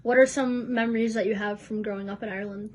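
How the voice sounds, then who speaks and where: Young woman, American accent, casual interviewer, everyday pitch, slight noise and clicks. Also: steady tone, quick pace. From United States, Chicago area. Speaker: interviewer, daughter.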